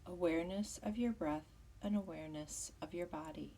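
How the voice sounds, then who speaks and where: Soft, husky woman guiding meditation, slightly distant. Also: lowish voice. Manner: soothing, measured instruction. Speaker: yoga nidra teacher. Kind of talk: guided meditation.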